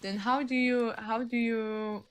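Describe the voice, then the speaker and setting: Polish-accented woman caller, halting speech. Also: on the high side, steady tone, smooth. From Poland. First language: Polish and German. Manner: halting, restarts sentences, searches for words. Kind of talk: casual debate on a call-in show.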